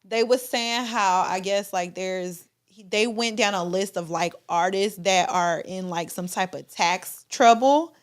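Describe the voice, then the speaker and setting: A woman's voice: medium pitch, a little slow, hardly any pauses. A young woman co-hosting a live-stream talk show, speaking in a laid-back, hedging way and often trailing off mid-thought. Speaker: co-host. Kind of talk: casual live-stream chat.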